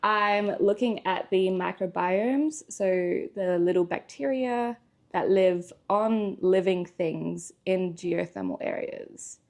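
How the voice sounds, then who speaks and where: A woman talks in a relaxed guest chat, with plenty of ums and easy laughter. Her voice has a gravelly fry running through it. She sits at a middle pitch. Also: takes it slowly. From Australia. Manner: chatty, with frequent ums and laughs. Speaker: guest, PhD student. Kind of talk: casual interview chat.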